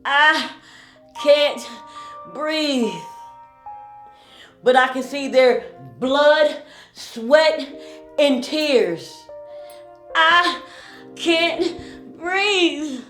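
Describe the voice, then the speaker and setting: Woman doing spoken word, really slow and mournful, high voice, echoey room with soft music. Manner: slow, pleading, mournful refrain. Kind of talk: spoken word poetry performance.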